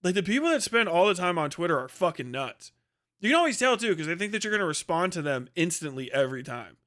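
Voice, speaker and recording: High-pitched man ranting bluntly on stream. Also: very animated, fast talker, a bit bright. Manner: blunt, profane, dismissive. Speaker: livestream host. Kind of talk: casual opinionated livestream rant.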